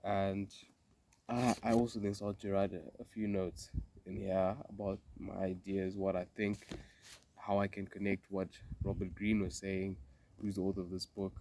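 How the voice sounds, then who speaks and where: A young man with a cold, talking in a relaxed, reflective way with many fillers and restarts while sitting outdoors in a garden. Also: low-pitched, normal speed, slight background noise. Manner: thoughtful, meandering, with frequent fillers and restarts. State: congested from a cold. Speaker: vlogger. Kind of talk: casual reflective vlog.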